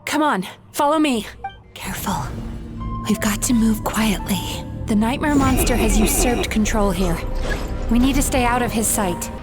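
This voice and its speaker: An actress playing a calm, caring dream therapist and guide in a video game. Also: female voice, fairly high pitch, normal speed, natural voice. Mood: guarded, focused. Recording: music behind.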